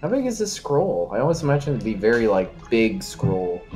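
A man's voice: medium pitch, very animated, normal speed, warm tone. A man playing a tabletop game, chatting casually with joking, crude banter. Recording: music behind the voice. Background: background music.

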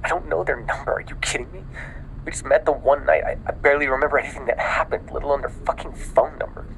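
A man playing a panicked, exhausted newly turned vampire in an ASMR audio roleplay, stammering and often dropping into a breathy whisper. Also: medium pitch, steady tone, fairly bright tone, a little hiss or hum, low-quality recording. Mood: frustrated, uneasy. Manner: halting, stammering, breathy sighs and frequent swearing.

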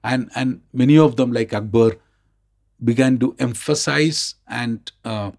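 A man's voice: mid-range voice, measured pace, vocal fry, a little mellow. A man with an Indian accent explaining things conversationally as an expert, emphatic and often rephrasing himself. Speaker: expert guest co-host.

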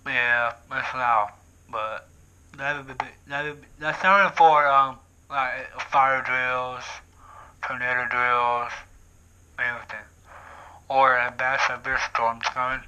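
A young man vlogging from his living room, excitedly talking through a handheld bullhorn and often repeating and restarting his sentences. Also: medium pitch, slow, long pauses, clean tone, low-quality recording. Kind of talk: casual vlog to camera. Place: living room at home, indoors. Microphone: camera on tripod, talks through a handheld bullhorn.

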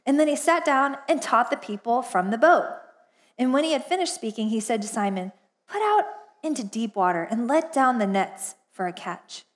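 Woman pastor, 40s, high bright register, animated storyteller with many fillers, headset mic in a church auditorium. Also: moderate pace. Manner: chatty and animated, laughs often, lots of fillers. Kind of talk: casual storytelling sermon. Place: church stage, large indoor auditorium.

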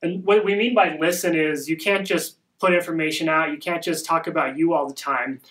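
A young man hosting a casual podcast at home, talking in a rambling, upbeat way with a soft voice, recorded by a camera across a small room. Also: a little high, steady tone, fairly quick, poor audio. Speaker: podcast host. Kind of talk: casual marketing podcast talk.

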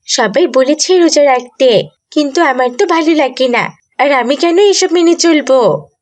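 A woman's voice: high-pitched, measured pace. A woman voicing a self-willed young girl in a moral cartoon story. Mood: stubborn. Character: stubborn self-centred young girl, later repentant.

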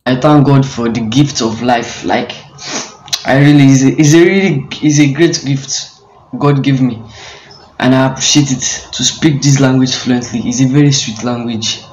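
A man's voice: medium pitch, steady tone, normal speed, darkish tone, muffled recording, slight background noise. Young man speaking casually in a roomy clip. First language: Yoruba. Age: young adult. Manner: casual, mixes Yoruba and English, says like often. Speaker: speaker in a played social video. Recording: roomy phone video played back.

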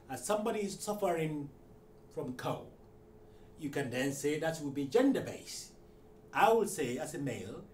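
A middle-aged man teaching a language lesson at a whiteboard. He goes slowly, repeats each phrase several times, and says the model phrases louder and higher. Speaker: Arabic teacher. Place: room in front of a whiteboard. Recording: roomy, distant, faint hiss.

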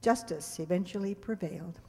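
A woman in her seventies reads a scripted introduction to an audience at a stage microphone, measured, with wry asides. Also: lowish voice, a little mellow, husky. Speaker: presenter introducing a stage radio play. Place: stage in a community hall, indoors. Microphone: handheld-style microphone on a stand. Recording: hall reverb from stage microphone.

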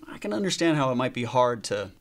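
An actor in his thirties playing a calm young pastor in a training film, explaining in a measured way. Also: medium pitch, slight background noise. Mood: instructive. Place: small meeting room.

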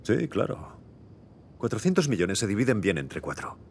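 Wry, animated young adventurer, Castilian. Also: male voice, lowish voice, normal speed, gravelly, a little hiss or hum. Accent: Castilian Spanish. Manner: sardonic, swearing. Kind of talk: dramatic video game voice acting, Spanish dub. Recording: loud music behind.

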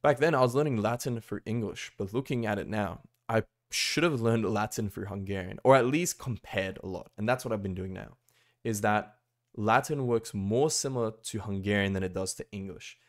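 Young man, lowish voice, rambling bedroom vlog, heavy on fillers. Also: moderate pace. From Australia. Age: young adult. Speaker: language-learning vlogger. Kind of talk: casual talking-head vlog. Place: small bedroom, indoors.

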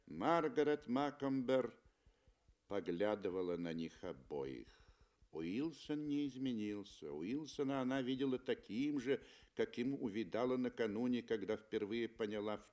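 A man reading literary narration for a 1960s radio play, with expressive, emphatic phrasing. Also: on the low side, unhurried. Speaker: audio drama narrator. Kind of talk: dramatic literary narration, 1960 Soviet radio. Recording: 1960 Soviet radio recording.

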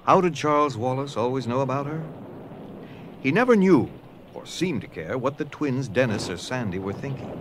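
Expressive male storytelling narrator. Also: mid-range voice, moderate pace, slight background noise. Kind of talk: scripted dramatic storytelling narration.

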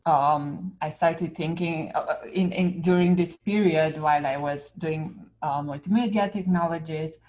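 A woman on a video call from home. Her voice is low and she talks slowly. She pauses often on "um" and "uh" as she describes her background. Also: fairly even intonation, darkish tone. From Romania. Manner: hesitant, many "um" and "uh" fillers. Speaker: panelist, researcher. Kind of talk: academic panel talk. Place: home room. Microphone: laptop webcam on a video call.

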